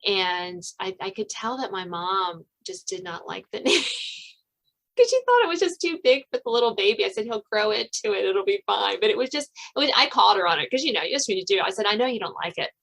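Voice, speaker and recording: Middle-aged woman hosting a relaxed chat. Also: medium pitch, brisk, vocal fry. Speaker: podcast host. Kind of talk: casual conversational interview.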